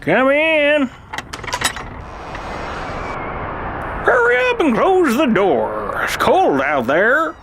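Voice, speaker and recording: Audio drama role: a man as a weary, sardonic old alien uncle, grumbling in drawn-out, slow phrases with big pitch swings. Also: huge pitch swings, noisy background, full character voice. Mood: gruffly welcoming. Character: cranky tired old alien chief historian, crazy uncle.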